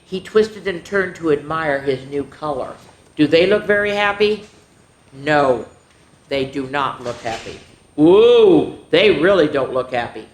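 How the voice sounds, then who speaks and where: Animated woman reading a children's book. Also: deep voice, a little slow. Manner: animated, chatty asides to kids. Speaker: children's storyteller. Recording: roomy echo.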